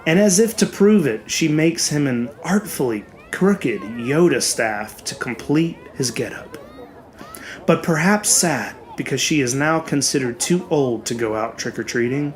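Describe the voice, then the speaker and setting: A man reading a horror story as a dramatic audiobook narrator, in a measured, expressive way with deliberate pauses. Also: fairly high pitch. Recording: music bed under the voice. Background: background music.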